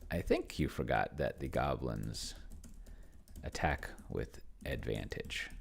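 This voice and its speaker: A man giving casual think-aloud commentary on a solo tabletop game, halting and muttering to himself in a gravelly voice. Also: extremely low pitch. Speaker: solo tabletop gamer, video host.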